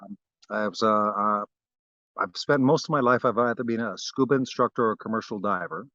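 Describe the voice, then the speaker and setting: A man from Barbados, a guest wood carver, talking in a relaxed interview with frequent fillers and restarts. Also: lowish voice, fairly quick, dry recording, dull audio.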